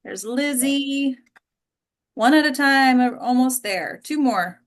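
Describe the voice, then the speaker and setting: Chatty American woman, higher-pitched voice. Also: slow. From Texas, United States. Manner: chatty, effusive. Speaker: host of an online actors' table read. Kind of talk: casual welcoming chat to a group. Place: online video call. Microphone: video call.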